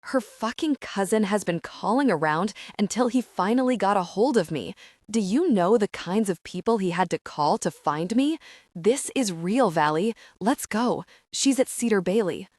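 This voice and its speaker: A woman's voice: medium pitch, animated, normal speed, a little mellow, no room sound, studio quality, natural voice. A woman voicing a blunt, tough young man who speaks urgently in an audiobook. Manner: urgent, barking.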